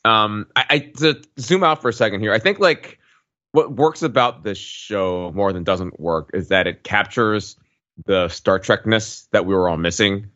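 A man's voice: on the low side, normal speed, pushed and bright. A man co-hosting a podcast, talking casually in a panel chat, on a slightly lo-fi microphone. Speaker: podcast co-host.

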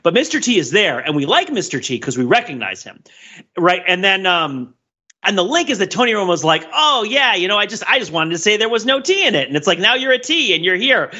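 Male podcast host, rambling and very animated, bright husky voice, slightly dull recording. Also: high-pitched, brisk. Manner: rambling, tags sentences with "right?". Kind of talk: animated conversational commentary. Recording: dull, muffled lo-fi sound.

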